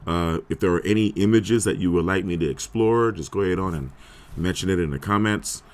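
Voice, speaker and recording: A man hosting a live stream, talking casually and a little rambling, with a hoarse voice and frequent throat-clearing. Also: sits low, brisk.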